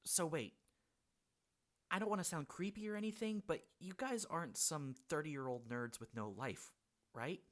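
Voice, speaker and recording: A man's voice: on the high side, normal speed, fairly bright tone, natural voice. A man playing a friendly, cautious sixteen-year-old boy gamer in an audiobook, casual and hesitant. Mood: awkward, friendly. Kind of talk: audiobook character acting.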